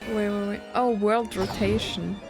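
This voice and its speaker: A woman's voice: medium pitch, steady tone, unhurried, over music. A woman giving casual gameplay commentary, thinking aloud and reacting chattily as she plays. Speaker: game streamer.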